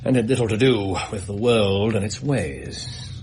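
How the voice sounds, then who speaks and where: An actor playing an astute, unhurried Victorian gentleman detective with an English accent, measured, courteous and precise in a radio drama. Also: lowish voice, natural voice. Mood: calm, probing. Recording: radio drama with faint background noise.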